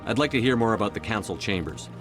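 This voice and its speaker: A man's voice: on the low side, lively intonation, quick pace, close to an everyday voice. A man playing a human military commander in a video game, direct and matter-of-fact as he asks probing questions. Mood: neutral, inquiring. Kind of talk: video game dialogue acting. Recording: music behind the voice.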